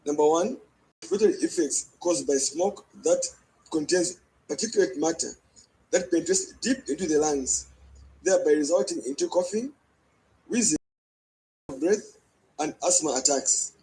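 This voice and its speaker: Man, Zambian accent, slightly high voice, formal point-by-point statement, faint background noise. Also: normal speed, long pauses, mellow. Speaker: public health association coordinator. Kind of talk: prepared statement in a news interview. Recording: noisy interview clip, faint background noise.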